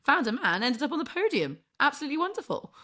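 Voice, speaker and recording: A woman's voice: high voice, sing-song, fast, bright, forceful tone. A woman in her thirties from England, a sports broadcast journalist chatting casually as a podcast guest, self-deprecating and laughing often, with lots of "like" fillers.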